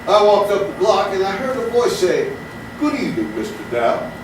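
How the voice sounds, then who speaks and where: Male actor as gentle eccentric gentleman barfly, slightly high voice, genteel stage storytelling, very reverberant distant pickup. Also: big pitch swings, normal speed. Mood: fond, amused. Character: gentle, courtly, dreamy male barfly with an imaginary rabbit friend. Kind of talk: theatrical comedy monologue. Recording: distant, very echoey, noisy stage recording.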